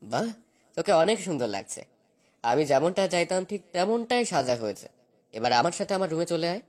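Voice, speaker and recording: A man's voice: on the high side, sing-song, a little fast. A man playing a cruel, obsessive young husband in a romantic audio drama, with a taunting, commanding manner. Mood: pleased, possessive.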